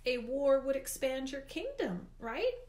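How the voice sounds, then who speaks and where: A woman's voice: very animated, unhurried, mellow. Woman as a nervous young boy hero, roomy echo. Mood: cautious. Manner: hesitant, earnest, pitch lifting. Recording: roomy, echoey indoor sound.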